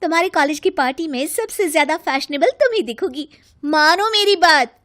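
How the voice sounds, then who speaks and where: Lady doing a pushy young fashion-crazy bahu for a cartoon, really high voice, swoops all over. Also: animated, moderate pace, a bit bright. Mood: persuasive. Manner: emphatic, pushy. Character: bossy young fashion-obsessed daughter-in-law, insistent and vain.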